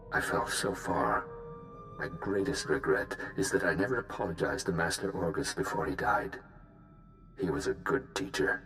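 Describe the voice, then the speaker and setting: Very deep, solemn repentant Jedi. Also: male voice, fairly bright tone. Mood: regretful. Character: remorseful male Jedi, grave, humbled and grateful. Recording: echoey voice over music.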